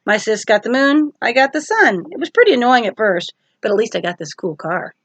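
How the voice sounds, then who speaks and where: A woman's voice: on the high side, animated, brisk, a bit bright, breathy edge, no room sound. Woman voicing a breezy, cocky teenage sun god. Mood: cheerful, explaining.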